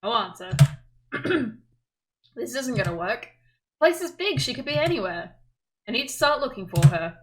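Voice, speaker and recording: A female streamer reads a visual novel aloud. Her voice is on the high side with lively intonation. She slips easily into casual banter. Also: normal speed, pauses often, noisy background. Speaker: game streamer.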